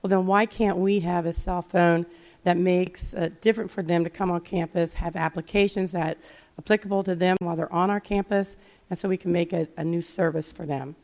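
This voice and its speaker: A middle-aged woman giving a conference presentation, hoarse from losing her voice, chatty with many fillers. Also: lowish voice, fairly even intonation, brisk, darkish tone. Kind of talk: conference talk. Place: conference session room.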